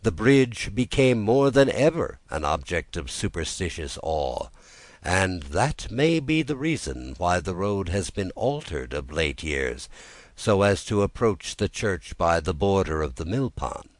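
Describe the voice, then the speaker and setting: A man narrating a classic story as a soothing bedtime audiobook, in a gentle and measured manner. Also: low voice, sing-song, dry recording.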